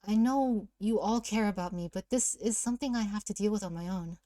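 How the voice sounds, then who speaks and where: A woman reading the part of a withdrawn, apologetic high school student, quietly and hesitantly. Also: a little fast, no room sound, studio quality, natural voice. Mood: subdued.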